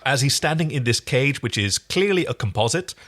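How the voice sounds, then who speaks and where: A man with a mid-pitched voice co-hosts a podcast. He talks casually, recapping a television episode in an informal back-and-forth. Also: animated, fairly quick, fairly bright tone. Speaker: podcast co-host.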